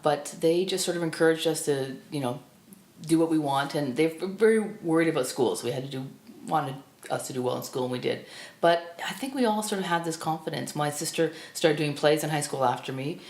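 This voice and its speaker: A woman in her fifties from Canada, whose first language was Estonian, recalling her life in a reflective, halting way with many restarts. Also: low voice, a little mellow. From Burlington, Ontario, Canada. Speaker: film critic guest. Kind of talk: reflective personal storytelling. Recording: echoey room.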